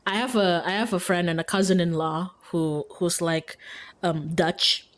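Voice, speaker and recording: A woman's voice: lowish voice, steady tone, measured pace. A woman from Indonesia, chatting casually and informally as a livestreamer. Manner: informal, frequent "like", giggly. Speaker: streamer. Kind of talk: casual livestream chat while playing a visual novel.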